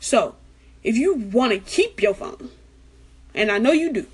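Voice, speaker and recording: A young woman gives casual advice in a chatty vlog. She is soft-spoken, has a light, girlish voice and keeps repeating her warnings. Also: on the high side, expressive, measured pace, slightly rough, poor audio. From Arkansas, United States. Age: late teens. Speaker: vlogger giving school advice.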